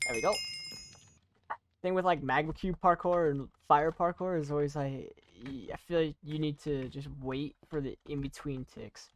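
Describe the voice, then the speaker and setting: Halting young gamer with noisy background. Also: mid-range voice, fairly even intonation. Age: young adult. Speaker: YouTuber playing and commentating on a game. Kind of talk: casual gaming commentary and chat.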